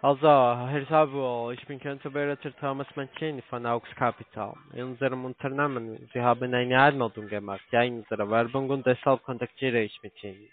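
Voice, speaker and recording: A man's voice: medium pitch, fairly even intonation, fairly quick, few pauses. A man with a foreign accent in German, working as a call centre account operator, formal and insistent on the phone. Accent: foreign, non-native German. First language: not German. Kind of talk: phone sales pitch. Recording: dull, noisy phone line with clicks.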